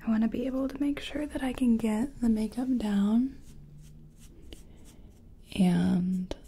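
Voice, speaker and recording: A woman softly whispering in an ASMR roleplay as a makeup artist, breathy and gentle, with a hesitant, murmuring delivery. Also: on the high side, measured pace, slight background noise. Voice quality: breathy, mostly unvoiced whisper.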